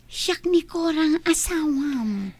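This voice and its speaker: A woman's voice: sits high, expressive, slow, darkish tone. A woman playing a devoted, anxious, pleading wife in an audio drama, with a Filipino accent. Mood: anxious, tender. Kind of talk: Ilocano audio drama acting.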